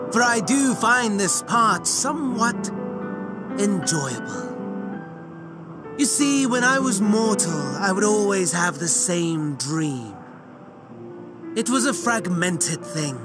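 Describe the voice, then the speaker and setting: A theatrical game villain, sly and mockingly polite. His voice sits high and swoops widely, drawn out slowly over music. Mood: wistful, menacing. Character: theatrical, sardonic hooded male villain, slyly courteous. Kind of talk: dramatic video game cutscene acting.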